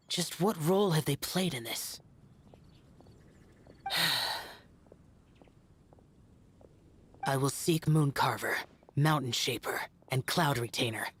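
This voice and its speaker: Husky, solemn young guardian. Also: male voice, fairly high pitch, fairly bright tone, full character voice. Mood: stunned, grave. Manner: grave, measured, formal. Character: aloof, solemn young male guardian adept, weary of mortals. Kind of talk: video game voice acting.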